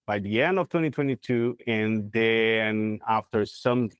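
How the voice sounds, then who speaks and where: A man in his forties from Brazil with a Brazilian Portuguese accent, speaking English in a video call interview, halting and searching for words with many fillers. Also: on the low side, smooth, no room sound, studio quality. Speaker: guest, corporate real estate head. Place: plain indoor room. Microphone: lapel mic on video call.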